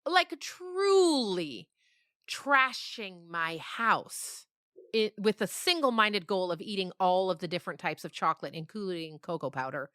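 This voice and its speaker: A woman being interviewed as a novelist, telling stories casually in an animated, rambling way with emphatic descriptions. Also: medium pitch, unhurried, clear, resonant tone, dry recording, studio quality.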